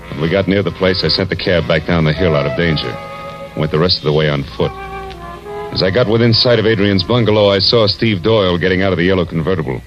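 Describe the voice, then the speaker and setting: Guy doing a tough wry american private eye, deep voice, old radio drama, muffled with music under it. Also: close to an everyday voice. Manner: hard-boiled, clipped slang. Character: tough, weary, wry male private detective. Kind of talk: 1940s radio detective acting and narration.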